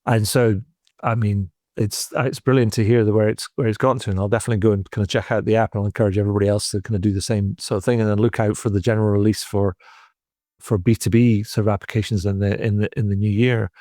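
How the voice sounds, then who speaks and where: Male podcast host, lowish voice, dry close sound, meandering talk full of fillers. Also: level tone, quick pace, warm tone, no echo. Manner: rambling, many fillers like "kind of" and "like". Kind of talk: casual interview talk.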